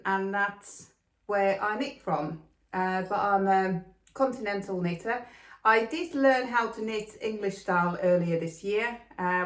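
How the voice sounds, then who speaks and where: A woman from Finland hosting a knitting podcast, talking casually with lots of ums and an upbeat, chatty manner. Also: lowish voice. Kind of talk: casual chat to camera. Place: home room with plastic extension, rain outside. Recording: echoey room.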